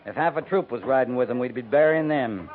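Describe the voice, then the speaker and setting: A man's voice: mid-range voice, brisk, lightly acted. Stoic cavalry captain, played by a man, tinny old radio. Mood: grave, matter-of-fact. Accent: American. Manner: clipped, dry, unsentimental authority. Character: seasoned cavalry captain, stoic, terse, gravely matter-of-fact. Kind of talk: 1950s American radio western acting. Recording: tinny band-limited 1950s radio recording.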